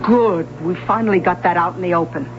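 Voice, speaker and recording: A woman's voice: lowish voice, brisk, a little hiss or hum, lightly acted. An actress playing a shrewd, motherly older woman who teases a young detective in a 1970s radio drama. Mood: teasing. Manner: teasing, wise, streetwise. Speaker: confidante to a detective. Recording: dull lo-fi old radio broadcast with music.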